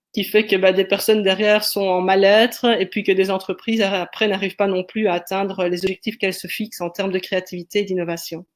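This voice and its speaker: A woman from Liège with a Belgian French accent, introducing herself on a webinar in a measured, explanatory way with many hesitations. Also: medium pitch. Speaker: guest, sociologist and entrepreneur. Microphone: video call.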